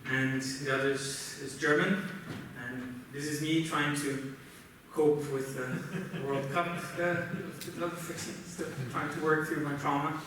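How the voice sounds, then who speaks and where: A man with a Brazilian accent, a native Portuguese speaker, gives a technical conference lecture in a halting, explanatory way. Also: on the high side, steady tone, a bit bright. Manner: halting, explanatory, often checks with "right?". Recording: roomy, noisy conference hall, distant mic.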